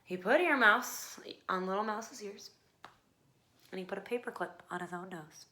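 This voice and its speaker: A woman narrating a children's picture book expressively, with dramatic pauses and hushed phrases. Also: mid-range voice. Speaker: storybook reader. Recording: faint background noise.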